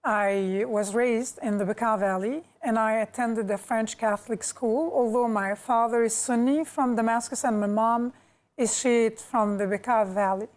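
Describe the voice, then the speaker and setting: A woman from Lebanon speaks as an interview guest, laying out her upbringing step by step. Her voice sits a little high, and she explains with care. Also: fairly even intonation, normal speed. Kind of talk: interview answers.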